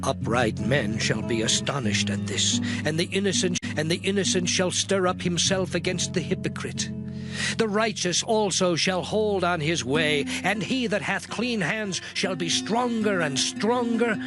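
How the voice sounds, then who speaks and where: An actor playing an anguished, suffering man lamenting in a dramatized Bible audio drama, declaiming mournfully in old King James English. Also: a little high. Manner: declamatory, mournful, sweeping delivery with long pauses. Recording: music behind the voice. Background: dramatic music.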